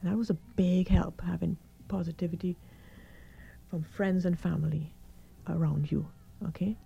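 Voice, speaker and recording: A middle-aged woman doctor with a croaky, hoarse voice, calmly explaining her experience as a radio guest. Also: lowish voice, moderate pace, muffled recording. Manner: calm, reassuring, explains step by step. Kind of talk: radio interview.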